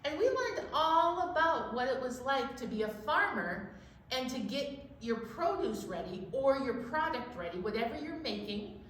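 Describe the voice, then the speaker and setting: Animated lady doing kids storytime, chatting n singing, super roomy sound, bit far from mic w faint noise. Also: high-pitched, moderate pace. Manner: playful, animated, talks to young children. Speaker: children's storytime presenter. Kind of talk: children's storytime, chatty talk mixed with sing-along. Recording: very echoey room, distant mic, faint noise.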